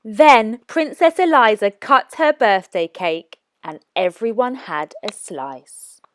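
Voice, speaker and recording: Woman, children's storybook narrator, slow careful phrasing, very lively intonation, expressive. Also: fairly high pitch, no echo. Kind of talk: scripted storybook read-aloud for young children.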